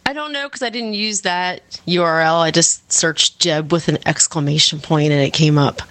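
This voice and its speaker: A woman's voice: low voice, normal speed, fairly bright tone. A woman co-hosting a podcast, summarizing a news article in casual chat and laughing between phrases. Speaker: podcast co-host.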